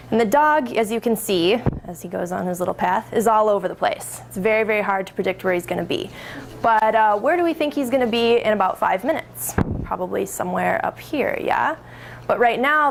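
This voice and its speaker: A woman's voice: medium pitch, slight background noise. A woman presenting to an audience, explaining with friendly asides and self-corrections. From Minnesota. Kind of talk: public talk.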